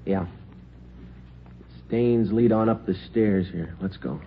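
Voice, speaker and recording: An American actor playing a calm, dry police detective in an old radio drama, in terse, deadpan phrases. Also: male voice, fairly low pitch, slow. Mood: alert, hushed. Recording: muffled old radio broadcast, background hiss.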